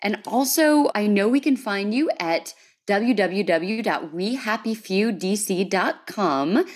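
A woman's voice: mid-range voice, animated, takes it slowly. A woman with an American accent hosting a casual podcast in an enthusiastic, appreciative manner. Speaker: podcast host.

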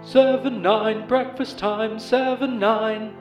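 A man's voice: high voice, fairly even intonation, slow pace, echoey room, faint music behind, pitched above the performer's own voice. A man voicing an anxious talking house clock in a repeating singsong chant for an audio drama. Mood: chirpy, urgent.

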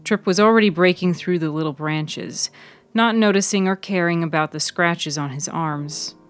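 A woman reading scripted literary narration in a measured, deliberate manner, as narrator of a serial fiction podcast. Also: on the low side, clean tone, faint music behind.

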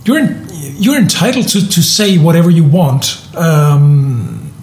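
A man's voice: fairly high pitch, sing-song, measured pace, a little mellow, reverberant. A man from Denmark talking casually on a podcast, often restarting and repeating words as he thinks. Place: room in southern Germany.

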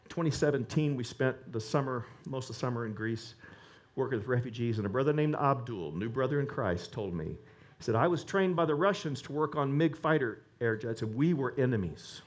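A man preaching a sermon, animated and rising to emphatic peaks. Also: mid-range voice, brisk.

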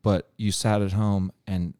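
A man in his thirties from the Cincinnati area, chatting casually as a guest, blunt and swearing freely with a deadpan edge. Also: fairly low pitch, unhurried, dry recording, clean studio sound. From Cincinnati area, USA. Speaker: podcast guest, musician. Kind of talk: casual chat.

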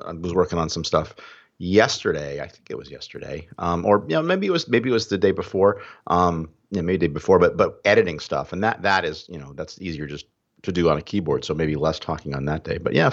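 A man co-hosting a podcast, unhurried and thinking aloud in casual chat. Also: sits low, expressive. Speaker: podcast co-host, university academic. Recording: dull lo-fi sound.

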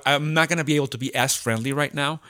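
A man in a casual, reflective discussion, speaking haltingly and repeating words as he works out his thoughts. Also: a little high, sharp tone. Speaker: theatre company podcast speaker.

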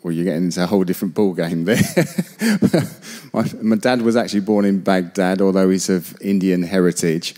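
A man's voice: low-pitched, normal speed, a little mellow. A man from Denmark with an English accent, giving brief, good-humoured answers on stage at a church. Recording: long hall reverb.

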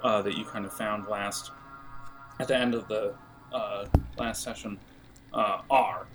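A man's voice: fairly low pitch, fairly even intonation, measured pace. A man running a tabletop game as game master, improvising casual narration and often searching for his words. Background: music under the voice.

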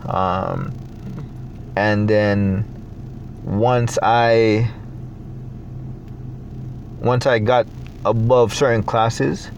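A young adult man from Jamaica with a Jamaican accent, talking in a reflective, halting way with many fillers as a guest in a casual interview. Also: lowish voice, creaky, a little hiss or hum, poor audio.

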